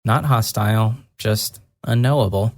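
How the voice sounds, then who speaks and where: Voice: mid-range voice, a little slow, dry recording. A narrator reading a dramatic first-person story in a scripted style.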